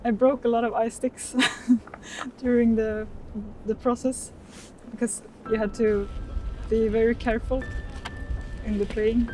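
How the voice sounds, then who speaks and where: A woman speaking slowly and softly in a documentary interview. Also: a little high, flat delivery, a little hiss or hum. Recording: distant from the mic, music behind.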